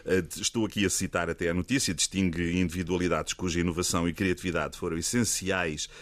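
A low-voiced man with a European Portuguese accent hosts a relaxed studio conversation. He is chatty, laughs easily and pauses to search for words. The sound is close and dry. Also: no echo. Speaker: radio host. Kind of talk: relaxed radio interview.

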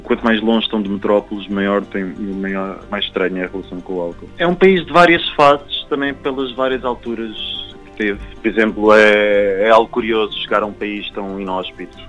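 A man's voice: on the low side, a little fast, highs cut off, faint music behind. A young man with a European Portuguese accent talks casually and reflectively as an interview guest, often repeating words as he searches for phrasing. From Algarve, Portugal. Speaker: traveller and guide interviewed.

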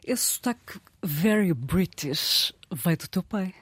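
A man's voice: high-pitched, lively intonation, slow pace, fairly bright tone, husky, no echo. A man with a European Portuguese accent interviewing a guest, asking friendly prompting questions and laughing along.

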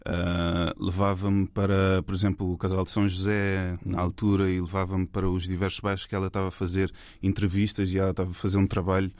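Low flat-voiced man, hesitant. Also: brisk, few pauses, no echo, studio quality. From Portugal. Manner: hesitant, many fillers and restarts. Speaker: guest filmmaker. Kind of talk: casual interview talk.

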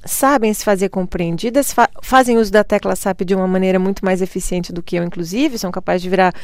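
Brazilian woman with a smooth clear voice. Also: medium pitch, fast, dry recording, studio quality. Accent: Brazilian Portuguese. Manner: reflective, laughs often, quotes others in lively voices. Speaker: guest. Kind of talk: conversational interview.

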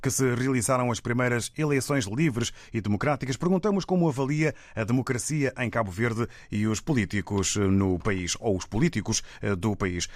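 A man hosting a radio phone-in show, speaking in a measured way with frequent hesitations. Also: medium pitch, no room sound, studio quality. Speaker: radio host. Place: radio studio.

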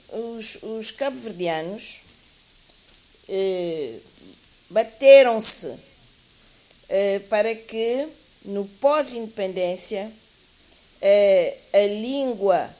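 A woman speaking as a guest teacher in a panel discussion, explaining in a deliberate, academic way and often correcting herself as she goes. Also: mid-range voice, a little mellow. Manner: deliberate, academic, hesitant with repeated self-corrections. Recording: clear background hiss.

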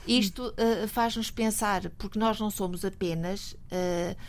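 A woman professor with a European Portuguese accent, a guest on a radio discussion, speaking in a measured, hesitant way with many pauses and fillers. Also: medium pitch, fairly even intonation. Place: radio studio.

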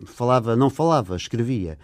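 A man's voice: mid-range voice, lively intonation, fairly quick, no room sound, studio quality. An older man from England, speaking Portuguese as a learned language, answering interview questions hesitantly with many fillers. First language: English. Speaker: guest. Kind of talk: interview conversation.

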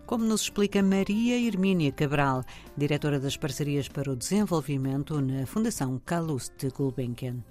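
A woman reporter, narrating a news report and asking interview questions in a measured, clear delivery. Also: low-pitched, a little mellow.

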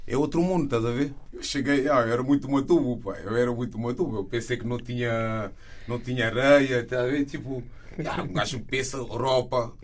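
A young man from Guinea-Bissau, raised in Senegal, a Wolof speaker, chatting as an interview guest in a relaxed, slangy way, often adding yeah and tag questions. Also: medium pitch, fairly quick. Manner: laid-back slangy talk, frequent yeah and tag questions. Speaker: guest, rapper. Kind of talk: casual interview talk.

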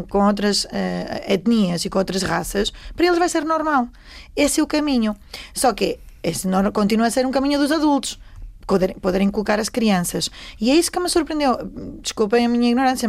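A woman's voice: a little high, expressive, fairly quick, vocal fry, a little mellow. A woman taking part in a panel discussion, explaining her views earnestly and emphatically in unscripted speech.

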